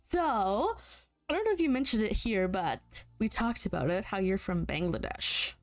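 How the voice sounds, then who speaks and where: A woman's voice: medium pitch, big pitch swings, normal speed. Playful young woman hosting an interview. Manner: playful, teasing, laughs often. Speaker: interview host. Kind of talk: casual interview chat.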